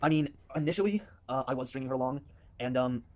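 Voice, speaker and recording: Rapid-fire man with a put-on British accent. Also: mid-range voice, darkish tone. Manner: rambling, many fillers and restarts. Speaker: streamer answering viewer questions. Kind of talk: casual stream talk in character.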